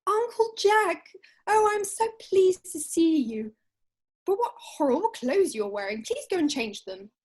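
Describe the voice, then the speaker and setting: Woman acting an eager, high-voiced young Victorian girl. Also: sing-song, a little slow, a little mellow, full character voice. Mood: excited. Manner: effusive, coaxing. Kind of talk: amateur play read-through.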